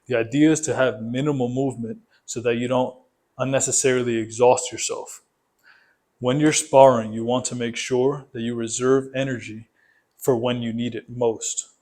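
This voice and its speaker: A man's voice: mid-range voice, steady tone, normal speed, darkish tone. A man coaching a boxing workout, explaining it methodically, step by step, in an instructional style.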